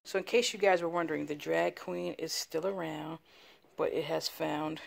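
A woman in her thirties talks to camera in a relaxed home vlog, chatty and teasing. Her voice sits a little low, and she is filmed close on a phone held at arm's length on an indoor staircase. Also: normal speed, creaky. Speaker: family vlogger. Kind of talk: casual home vlog chat.

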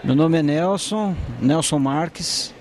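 Forties man, student and business owner, measured and hesitant, slightly high voice, handheld mic in a busy campus corridor. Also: darkish tone, slight background noise. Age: 40s. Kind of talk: documentary interview. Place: university corridor with students behind.

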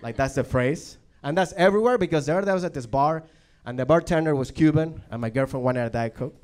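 A man in his thirties from Venezuela doing an animated stand-up set on a handheld mic. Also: a little high, quick pace. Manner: animated, chatty, asks the crowd "right?". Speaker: stand-up comedian. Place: comedy club stage, indoors.

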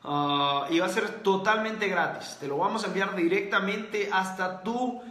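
A man with a high voice lectures viewers in a motivational livestream, hammering his points home by repeating them. His voice rings out in a roomy, echoing space. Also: unhurried, sharp tone. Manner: preachy, repeats key points. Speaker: host. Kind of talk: motivational livestream talk, reading comments.

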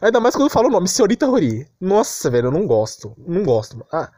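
A man's voice: high voice, very animated, quick pace, dark tone, dry recording. A man with a Brazilian Portuguese accent giving an informal, exasperated rant as an anime commentator, repeating himself and using lots of slang.

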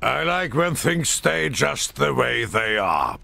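An actor playing a gruff, weary veteran military commander with a gravelly, husky voice in a video game. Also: male voice, mid-range voice, big pitch swings, slow pace, bright, forceful tone, no echo, exaggerated voice. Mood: stern. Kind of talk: video game cutscene acting.

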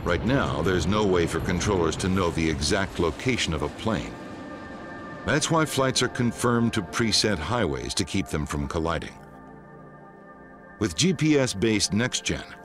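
A man reading scripted narration as a documentary narrator. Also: deep voice. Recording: music under the voice. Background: background music.

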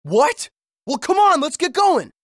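Young male game hero, earnest, good-hearted, lively pitch, dry studio sound. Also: unhurried, dry recording, exaggerated voice. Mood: alarmed. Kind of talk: animated game hero acting.

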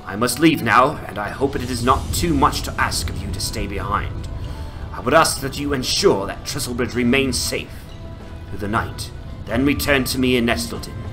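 A man's voice: mid-range voice, normal speed, lightly acted, rough recording. A man playing an earnest, courteous ranger in a fantasy game dramatization, speaking formally and solemnly. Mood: solemn, grateful. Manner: formal, solemn heroic speech. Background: game music.

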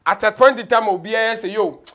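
High-voiced young Ghanaian man hosting. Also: a little fast, pushed and bright. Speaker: interview host. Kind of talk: casual interview, mixing English and Twi. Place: small indoor radio studio.

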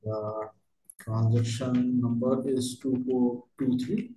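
A man working through a step-by-step software tutorial as a trainer, speaking in halting, fragmented phrases. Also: medium pitch, flat delivery. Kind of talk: step-by-step screen tutorial narration. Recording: roomy, echoey voice recording.

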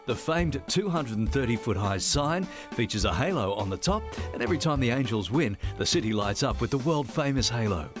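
A man's voice: medium pitch, lively intonation, brisk, few pauses, over music. Playful Australian male travel presenter. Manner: playful, punning, upbeat. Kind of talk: scripted travel voice-over and banter.

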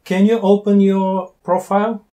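A man's voice: sits high, flat delivery, dark tone. Playful Bulgarian man on a video call. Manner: playful, teasing, pauses to search for words. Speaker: language learner interviewing a tutor. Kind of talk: casual video-call chat. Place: small room indoors with wooden doors. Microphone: wired earphone mic on a video call.

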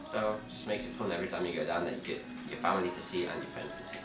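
A young man from Belgium answering interview questions in a relaxed, hesitant way, often restarting his sentences. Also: deep voice, very fast. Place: dim bar with red walls, indoors. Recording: roomy, distant sound with loud music behind.

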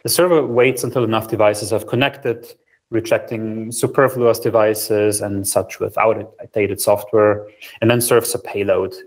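A young man presenting a technical platform over a video call, steady and explanatory with frequent ums, in a small room. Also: fairly low pitch, unhurried. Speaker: software engineer presenting a research platform. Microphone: video call, webcam framing.

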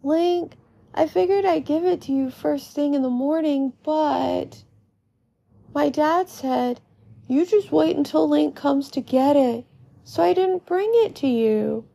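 A woman's voice: sits high, slow, vocal fry, mellow, pitched above the performer's own voice, exaggerated voice. A woman voicing a friendly, earnest, polite young boy in storybook dialogue.

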